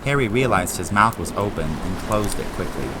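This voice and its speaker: A man reading dramatised story narration in an expressive storytelling style. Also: fairly low pitch, fairly quick, background noise. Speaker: narrator and audio drama maker.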